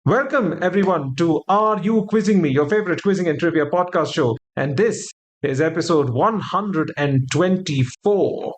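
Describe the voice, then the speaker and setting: A man from Kerala, India, hosting a trivia podcast and reading out quiz questions in a measured way. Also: on the high side. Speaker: podcast host.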